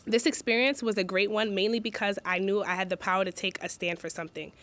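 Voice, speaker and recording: Young woman student reading her campaign speech, earnest, mid pitch. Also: steady tone, fast talker, a bit bright. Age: young adult. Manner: earnest, restarts when she slips. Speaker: student election candidate.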